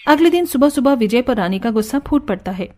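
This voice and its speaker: A woman narrating an animated story in a smooth, even storytelling manner. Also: a little high, quick pace, faint music behind.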